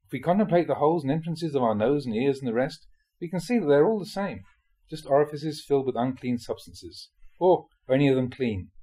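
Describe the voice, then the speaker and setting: A male reader delivers a spiritual teaching in an explanatory, measured manner. His mid-pitched voice has a husky grain and moves expressively up and down as he sets out questions and explanations. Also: lively intonation, mellow. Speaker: monk reading dharma talks aloud. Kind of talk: reading a spiritual talk aloud.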